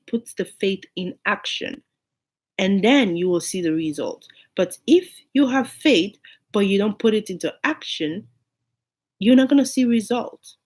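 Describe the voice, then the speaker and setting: A woman with a cold and a hoarse, unclear voice, giving an informal sermon to her online listeners and repeating key phrases for emphasis. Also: mid-range voice, a little mellow. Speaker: online preacher.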